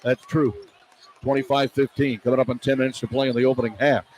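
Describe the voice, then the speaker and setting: An American man doing excitable, partisan live radio play-by-play of a basketball game as the announcer. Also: medium pitch, quick pace. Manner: excitable, partisan toward the home team. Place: courtside in a basketball arena. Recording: noisy live arena radio broadcast. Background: crowd and arena noise.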